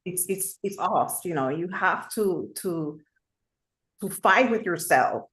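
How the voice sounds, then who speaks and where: A woman's voice: on the low side, animated, takes it slowly, fairly bright tone. A woman from Puerto Rico, a native Spanish speaker with a Puerto Rican accent, speaking earnestly as a guest and repeating phrases for emphasis. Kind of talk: casual livestream chat.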